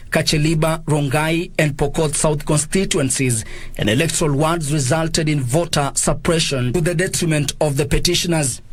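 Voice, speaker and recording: A man with a Kenyan accent narrating a scripted news report as a reporter. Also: a little high.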